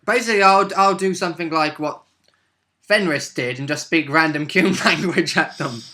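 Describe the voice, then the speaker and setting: Bright, slightly high-voiced man chatting casually. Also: normal speed. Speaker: roleplay player and co-host. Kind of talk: casual chat.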